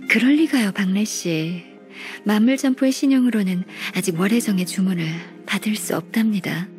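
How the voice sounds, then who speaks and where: A woman performs a shrewd, unflappable senior secretary from a video game. She speaks in a medium-pitched voice, courteous and matter-of-fact, over background music. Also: lightly acted. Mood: coolly coaxing. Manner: polite, measured, matter-of-fact. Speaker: game character voice actor. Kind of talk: video game story voice acting.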